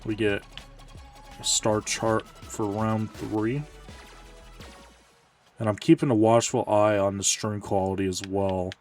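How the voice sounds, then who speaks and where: A male streamer chatting casually while playing a game, rambling and correcting himself, sounding tired and a little hoarse. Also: steady tone, takes it slowly, pauses often, far from the mic, faint music behind. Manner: rambling, self-correcting, low-key. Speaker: Twitch streamer. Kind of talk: casual live-stream gaming chat.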